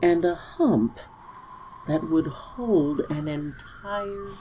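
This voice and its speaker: A woman's voice: medium pitch, very animated, extremely slow, vocal fry, mellow, highs cut off, a little hiss or hum. A woman storyteller giving a dramatic reading of a story.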